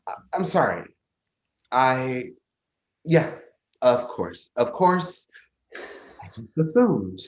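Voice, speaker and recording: Halting, insecure young-lover type, swinging pitch man acting. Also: big pitch swings. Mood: flustered, apologetic. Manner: halting, self-interrupting. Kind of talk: staged play reading, naturalistic dialogue.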